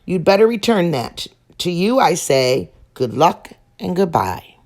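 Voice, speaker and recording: Woman voicing a chatty, boastful squirrel, pitched up. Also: big pitch swings, a little mellow. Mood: brisk, helpful. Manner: fast-talking, boastful. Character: chatty, self-pleased male squirrel who hoards nuts.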